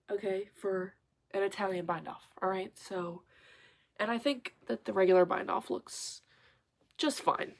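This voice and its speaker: A woman's voice: medium pitch, a little mellow. A young woman with a stuffy, nasal voice, chatting casually about her knitting with lots of fillers and restarts. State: congested and nasal. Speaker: crochet and knitting vlogger. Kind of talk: casual vlog chat.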